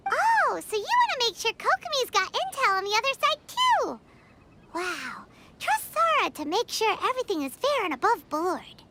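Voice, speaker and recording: A woman voicing a small, childlike fairy companion in a video game, squeaky, nosy and full of exclamations. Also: very animated, moderate pace, noisy background, full character voice. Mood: impressed. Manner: exclamatory, nosy, speaks of self in third person. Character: small childlike floating fairy companion, chirpy, curious, third-person talker. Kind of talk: video game character acting.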